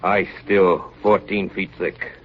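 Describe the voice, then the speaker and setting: Deep-voiced, steady ship's officer. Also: male voice, slow. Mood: grave, weary. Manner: grave, clipped log-style narration, firm in dialogue. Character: steady, authoritative ship's chief officer narrating polar ordeal. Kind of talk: 1930s radio drama acting. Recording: dull, lightly noisy 1930s radio recording.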